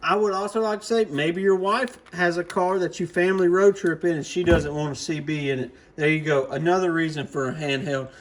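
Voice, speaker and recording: A man's voice: fairly high pitch, fairly even intonation, fairly quick, few pauses. A man giving a casual, folksy, plain-spoken product talk to camera as a hobbyist presenter.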